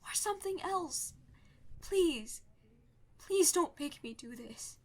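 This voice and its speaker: A woman's voice: very high-pitched, lively intonation, slow pace, heavily acted. A woman playing a young, rebellious princess in a fantasy audio drama, headstrong, pleading and emphatic. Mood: desperate pleading.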